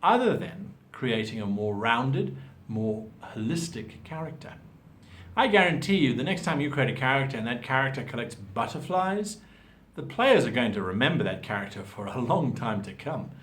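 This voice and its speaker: A man's voice: mid-range voice, sing-song, normal speed, a bit bright. A man giving an instructional monologue as a host, articulate and emphatic in his explanations. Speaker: host giving tabletop role-playing advice.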